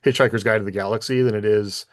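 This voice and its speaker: A man's voice: on the low side, steady tone, quick pace, no echo, clean studio sound. A man co-hosting a podcast, talking in a casual, conversational way about his thoughts. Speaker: podcast co-host and author. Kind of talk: casual chat.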